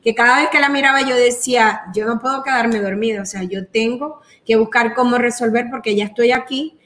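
Venezuelan woman, middle-aged, interview guest; earnest, flowing delivery, a touch high. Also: brisk, a bit bright. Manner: earnest, talks in long flowing runs with "o sea" and "entiendes". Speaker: guest, entrepreneur. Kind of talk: interview conversation.

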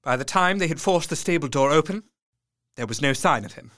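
A man narrating an audio drama in a tense, measured storytelling voice with hushed dips. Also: fairly high pitch, expressive.

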